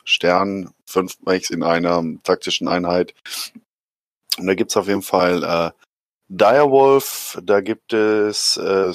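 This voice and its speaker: A man with a hoarse voice chatting casually on a podcast, excited and joking as he rambles. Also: low voice, dry recording, studio quality. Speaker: podcast co-host. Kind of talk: enthusiastic casual chat.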